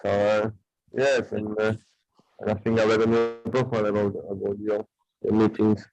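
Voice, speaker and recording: A man's voice: lowish voice, little pitch movement, moderate pace, creaky, darkish tone, dull audio, faint music behind. A man in his forties from Poland with a Polish accent, speaking hesitantly and searching for words on a video call. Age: 40s. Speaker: guest participant. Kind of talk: casual video-call conversation.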